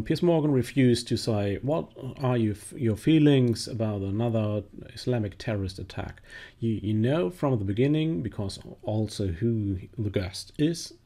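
A man who speaks English as a second language, commenting on a news clip with many halting fillers and restarts. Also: fairly low pitch, warm tone. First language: not English. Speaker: video commentator. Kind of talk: casual spoken commentary.

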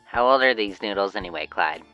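Woman as deep-voiced scolding teenage boy. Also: expressive, brisk, fairly bright tone, smooth, highs cut off, soft background music. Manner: blunt, scolding. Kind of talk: amateur cartoon comic dub.

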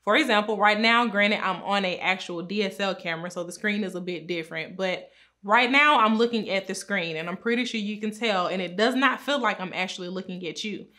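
A woman in her thirties, a pharmacist, giving upbeat, clear career advice straight to camera from a small room at home. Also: mid-range voice, fairly quick, pushed and bright. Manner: upbeat, clear, instructive, talks with her hands. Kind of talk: direct-to-camera advice talk. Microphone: camera framed at chest height.

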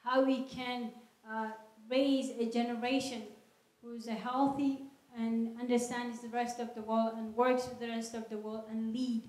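A woman answering audience questions at a lecture in an earnest, measured way, speaking into a handheld microphone in a large hall. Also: on the high side, little pitch movement, darkish tone. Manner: earnest, measured, non-native phrasing. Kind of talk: public Q&A talk. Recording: echoey hall.

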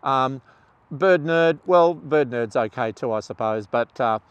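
An older man speaks outdoors on a clip-on mic, relaxed and chatty, with plenty of ums. His voice sits in a middle pitch, and the sound is close and dry with no room around it. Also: a little slow, clear, resonant tone, no echo. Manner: relaxed, conversational, with fillers and restarts. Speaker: ornithologist and presenter. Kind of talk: explainer talk to camera. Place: outdoors among trees. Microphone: lapel mic.

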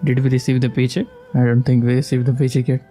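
A woman's voice: a bass voice, little pitch movement, fast, mellow. A woman giving casual, chatty, amused commentary as she streams a video game playthrough. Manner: amused, chatty, reacting to characters. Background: game music.

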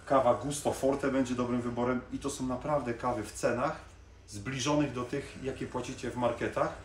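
A man, chatting casually to camera as a salesman in a coffee machine showroom, pausing with small hesitations as he points things out. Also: mid-range voice, fairly even intonation. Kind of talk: casual product presentation to camera. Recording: echoey showroom with faint room noise.

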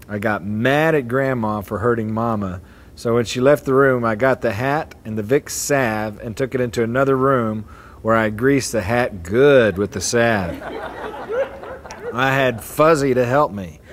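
A man's voice: mid-range voice, unhurried. A man casually reading short family stories aloud to relatives in a hotel atrium, breaking off to chuckle and comment.

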